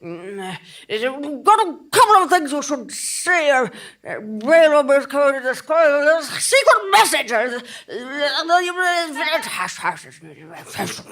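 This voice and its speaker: A man playing an eccentric, excitable informant with a halting, garbled, peculiar dialect in an audio drama. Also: very high-pitched, full character voice. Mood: flustered urgency.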